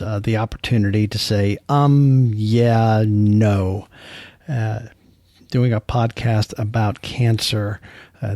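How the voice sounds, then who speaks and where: A man with a low, mellow voice leaves a spoken message as a fellow podcaster. He is earnest and takes his time, and the sound is very dry. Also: no echo. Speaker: podcaster leaving a recorded listener message.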